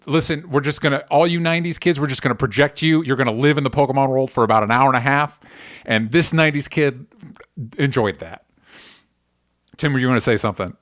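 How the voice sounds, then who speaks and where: A man's voice: medium pitch, fast, fairly bright tone, no echo, studio quality. A man in his thirties hosting a casual pop culture discussion, talking with opinionated enthusiasm and free swearing. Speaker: podcast host.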